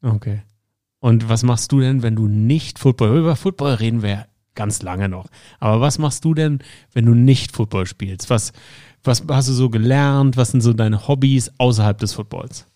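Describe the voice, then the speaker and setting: A man hosting a sports team podcast, asking casual, quick follow-up questions in an interview. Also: mid-range voice, dark tone, no room sound, clean studio sound. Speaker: podcast host.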